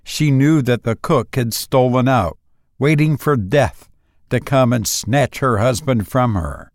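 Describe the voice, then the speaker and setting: A man narrating a literary story in a measured, dramatic manner, with deliberate pauses. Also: mid-range voice, dry recording, clean studio sound. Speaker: audiobook narrator.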